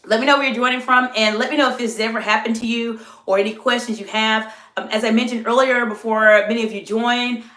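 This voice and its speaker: A middle-aged woman who is an executive coach, talking live to viewers and their chat while she is under the weather, with her voice lower than usual. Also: steady tone, fast talker, hardly any pauses. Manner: warm greetings to viewers, coaching tone. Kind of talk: live-stream talk to chat. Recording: echoey room.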